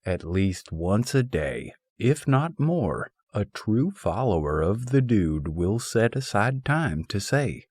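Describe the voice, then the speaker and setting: A man narrating a scripted, humorous essay as an audiobook, reading deliberately and expressively with a wry, mock-scholarly turn. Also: fairly low pitch, sing-song, darkish tone, breathy edge, dry recording.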